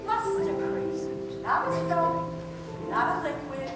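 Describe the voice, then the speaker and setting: A woman playing a dreamy pitchwoman in an old-time radio commercial, singing and speaking the jingle. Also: animated, takes it slowly. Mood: dreamy, sweet. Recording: roomy distant stage recording with music.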